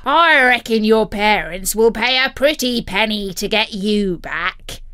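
A menacing male pirate captain from a storybook, acted by a woman. He speaks slowly and forcefully, with a gruff, commanding snarl and big swoops of pitch. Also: lively intonation, no echo. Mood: grimly scheming.

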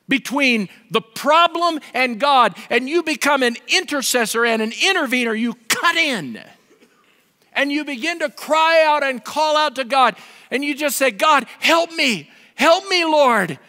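Pastor, high bright voice, animated preaching style, reverberant hall. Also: male voice, measured pace. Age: 50s. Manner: animated, gesturing preacher with wide swings in pitch and volume. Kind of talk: sermon. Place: large dark stage with a pulpit. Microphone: headset.

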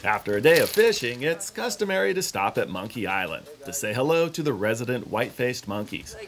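A middle-aged man hosting a fishing show, reading a smooth, upbeat scripted voice-over. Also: mid-range voice, very animated, a little fast, fairly bright tone. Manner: smooth, upbeat presenter delivery. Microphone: studio voice-over. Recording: music bed under the voice.

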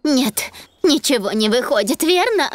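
Slow, fussing cartoon hamster vet. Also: female voice, high-pitched, lively intonation, soft background music. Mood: polite concern. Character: kindly adult female hamster vet, fussing over her pet. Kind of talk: children's cartoon dub.

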